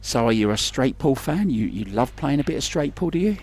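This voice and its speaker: A man's voice: medium pitch, expressive, fairly quick, darkish tone. A man doing chatty, self-deprecating live pool commentary in a conversational way. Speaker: sports commentator. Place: remote commentary from his own location.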